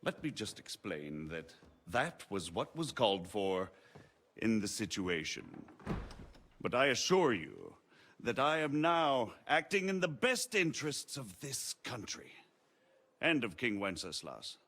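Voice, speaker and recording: An actor playing a shrewd, haughty margrave who speaks in formal, measured, eloquent phrases in a video game drama. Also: male voice, fairly low pitch, wildly swooping pitch, a bit bright, exaggerated voice. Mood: smoothly defensive. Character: shrewd, haughty noble margrave, smooth political schemer.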